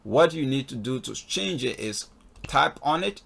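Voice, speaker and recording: A man with a gravelly, husky voice giving a casual step-by-step spoken walkthrough as an instructor, often checking in with "okay.". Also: medium pitch, lively intonation, a little slow, a bit bright. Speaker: flight instructor in a tutorial video. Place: voice-over on a screen recording.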